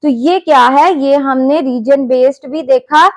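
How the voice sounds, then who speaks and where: A woman's voice: high voice, unhurried. A woman with a Pakistani Urdu accent, an English instructor explaining concepts in a recorded lecture, mixing English terms and asking rhetorical questions.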